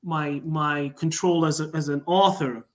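A man's voice: on the high side, steady tone, a little slow. A man talking as a writer guest on a casual podcast, discursive, rephrasing and elaborating as he goes. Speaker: guest, fan audio drama writer. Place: remote online video call.

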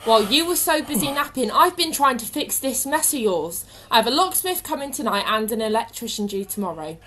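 A woman playing a brisk, no-nonsense shop assistant in a comedy radio play, sardonic and teasing. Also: fairly high pitch, lively intonation, fairly bright tone. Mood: exasperated. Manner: teasing, exasperated.

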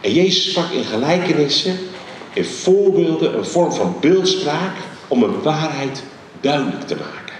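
Middle-aged male preacher, emphatic and pausing, handheld mic in a big reverberant church hall. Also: on the high side, far from the mic, slight background noise. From Netherlands. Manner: animated, emphatic preaching with many pauses. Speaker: guest preacher. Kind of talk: sermon. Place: large dark church hall stage. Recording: very echoey large hall.

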